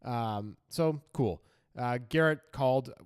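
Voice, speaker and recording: A man's voice: mid-range voice, takes it slowly, clear, resonant tone, no room sound. A man co-hosting a poker show, analysing hands casually with wry, dry asides. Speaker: poker podcast co-host. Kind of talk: casual hand analysis commentary.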